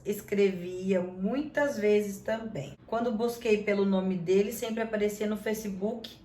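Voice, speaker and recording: A Brazilian woman hosting a channel, telling a story straight to her viewers in an indignant tone and reading out messages. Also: medium pitch. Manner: indignant, talks straight to the audience. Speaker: channel host. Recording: roomy echo.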